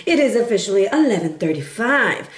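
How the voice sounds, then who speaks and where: A woman giving a casual, sassy tarot forecast, chatty and playful, a little hoarse from recovering from bronchitis. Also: medium pitch, sing-song, brisk. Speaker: tarot reader. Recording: echoey room.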